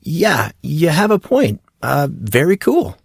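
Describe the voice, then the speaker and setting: A man's voice: fairly high pitch, sing-song, slow pace, creaky, husky, no echo, clean studio sound, voice pushed up, full character voice. A man voicing a puzzled, guarded teenage boy who keeps asking questions and steers away from topics. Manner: questioning, evasive.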